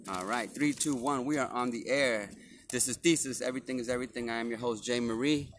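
A man's voice: medium pitch, a little fast, slight background noise. Laid-back man hosting outdoors. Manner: relaxed, filler-heavy, friendly. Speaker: podcast host. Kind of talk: casual interview. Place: outdoors in a park.

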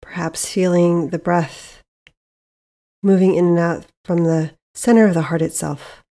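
Mellow, low-pitched woman guiding meditation. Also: steady tone. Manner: soothing, measured, with long pauses. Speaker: meditation guide, podcast host. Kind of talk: guided meditation narration.

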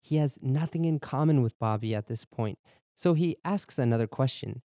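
Podcast language teacher, young man, deep mellow tone, measured and clear, dry studio sound. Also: dry recording. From born in Germany, raised in Boston. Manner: clear, measured explanations, slows down for repeat drills. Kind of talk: bilingual lesson narration, Japanese and English.

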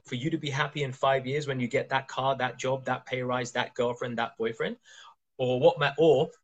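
A man from Kenya hosts a relaxed conversation with a husky voice at an average pitch. He speaks emphatically and drives home his points with rhetorical questions. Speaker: coach and podcast host. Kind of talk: casual interview chat.

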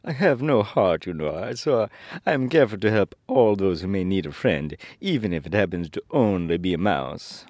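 A man's voice: deep voice, expressive, normal speed, hardly any pauses, a little mellow, no room sound. A courteous, earnest tin woodman from a storybook, played by a man. His voice is male and speaks with stiff, formal kindness in a dry recording. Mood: modest, earnest. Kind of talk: storybook character voice.

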